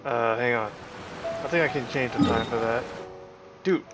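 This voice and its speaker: A male game streamer talks offhandedly at an ordinary pitch, half muttering his casual commentary. Game music plays clearly behind him. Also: moderate pace. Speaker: livestreamer.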